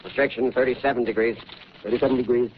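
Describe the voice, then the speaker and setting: A man's voice: medium pitch, steady tone, quick pace, natural voice. Actor as a terse artilleryman, muffled recording. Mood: brisk, commanding. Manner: terse, barked military calls. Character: disciplined male artillery soldiers calling ranges and orders. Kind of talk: 1930s radio drama acting. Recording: muffled, noisy 1930s radio recording.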